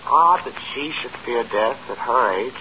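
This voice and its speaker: An actor playing a sickly, poison-obsessed young invalid in a 1940s radio drama, with a sinister, relishing manner. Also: male voice, mid-range voice, animated, a little slow, a little hiss or hum, lightly acted. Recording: dull lo-fi old radio broadcast.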